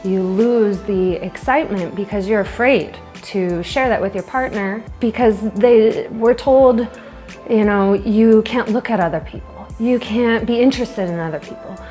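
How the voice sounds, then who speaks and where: A woman's voice: medium pitch, measured pace, dark tone, soft background music. A woman giving an unscripted personal testimonial, reflecting on her own views.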